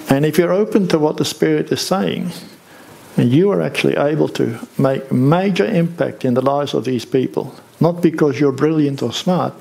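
An older man, preaching a sermon from a church stage in a conversational, unhurried way with rhetorical questions. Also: fairly high pitch, creaky, darkish tone, slight background noise, poor audio.

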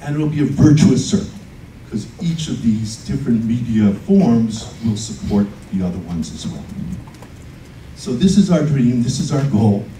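A man's voice: medium pitch, lively intonation, normal speed, warm tone, a little hiss or hum. A man presenting his film project to a panel audience with enthusiasm. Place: conference room. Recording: roomy conference hall audio from a distance.

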